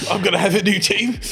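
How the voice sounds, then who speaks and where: A man plays a sore-losing Australian villain in a tabletop game. He is blokey and vengeful, with a slightly high voice over clear background music. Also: a bit bright, heavily acted. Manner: blokey, repeatedly says mate. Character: bitter beaten sports rival turned vengeful villain.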